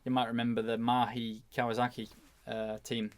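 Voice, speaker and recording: A man's voice: medium pitch, monotone, normal speed. A man speaking as a podcast guest and motorcycle racer, telling anecdotes in a casual, informal way.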